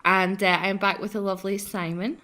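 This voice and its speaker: A woman's voice: mid-range voice, flat delivery, brisk, sharp tone. A woman hosting a let's play with friends, chatting casually in a giggly way and reading game text aloud.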